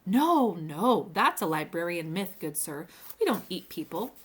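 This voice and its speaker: A woman's voice: lowish voice, sing-song, unhurried, slightly rough, heavily acted. A woman acts out a small male pterodactyl from a storybook. He is genteel and fussy, forever courteous and easily affronted. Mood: earnest, affronted. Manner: fussy, old-fashioned genteel politeness.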